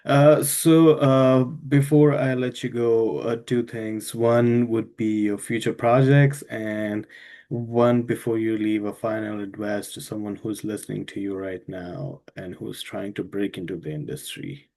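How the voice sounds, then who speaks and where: A man hosting a casual interview, relaxed and hesitant, with many fillers. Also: lowish voice, a little slow. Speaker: podcast host.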